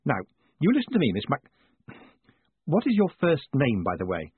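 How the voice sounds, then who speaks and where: A man acts as a wry, assured postmaster in a storybook, soothing and confident. His delivery is animated, with a slightly husky edge, on a thin phone-like recording. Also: medium pitch, normal speed, no room sound, exaggerated voice. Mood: firm. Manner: assured, placating.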